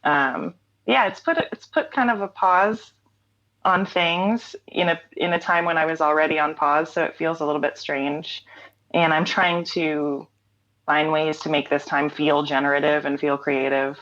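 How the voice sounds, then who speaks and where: A woman's voice: deep voice, fairly even intonation, moderate pace, a bit bright, dull audio. A woman guest speaking candidly in a casual interview, reflective, with frequent ums and restarts. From North Carolina. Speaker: interview guest, singer-songwriter.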